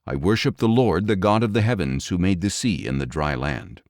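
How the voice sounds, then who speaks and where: A man's voice: deep voice, moderate pace, a little mellow, dry recording, clean studio sound, lightly acted. A man voicing a grave, resigned, devout prophet, solemn and earnest, in a scripture audiobook. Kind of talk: dramatic audiobook scripture reading.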